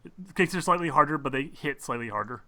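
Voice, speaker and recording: A man's voice: a little high, expressive, fast talker, a crowd in the background. A man acting as game master, narrating a tabletop game off the cuff in an informal, hedging way.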